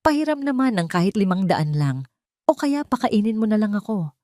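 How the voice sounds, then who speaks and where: A woman's voice: medium pitch, very animated, fairly quick, a little mellow, no room sound, studio quality, voice pushed up, natural voice. A woman voicing a desperate ruined man begging. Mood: pleading.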